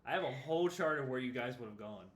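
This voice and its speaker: A man's voice: medium pitch, lively intonation, fast, fairly bright tone. A man running a tabletop game, chatting casually with his players after the session, laughing often and trailing off mid-sentence. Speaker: game master. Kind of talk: casual post-session chat.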